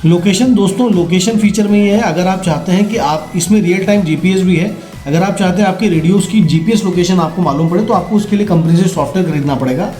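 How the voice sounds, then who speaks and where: Higher-voiced Indian man, echoey product demo. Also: fast, dark tone. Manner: explanatory, checks in with listeners using tag questions. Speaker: product demonstrator. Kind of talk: casual instructional product demo. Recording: roomy echo, faint music behind.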